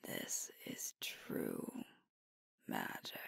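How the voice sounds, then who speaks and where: A woman playing a sweet, devoted werewolf girlfriend in an ASMR roleplay, speaking in a hushed, breathy, tender voice close to the microphone. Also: dry recording. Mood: tender, wistful. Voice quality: breathy, whispery. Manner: slow, tender, hushed delivery with pauses. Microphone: close microphone, dry.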